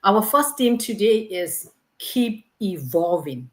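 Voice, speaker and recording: A woman's voice: medium pitch, sing-song, slow pace. A woman hosting and moderating an online business panel, reading questions in an upbeat, scripted way. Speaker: host and moderator.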